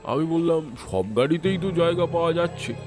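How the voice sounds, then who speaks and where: An actor as an obliging railway clerk, a man with a fairly high, very lively voice. He recounts details chattily and helpfully in a radio mystery, with music clearly playing behind him. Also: brisk, close to an everyday voice. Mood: obliging, recounting. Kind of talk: audio drama acting, recounting in dialogue.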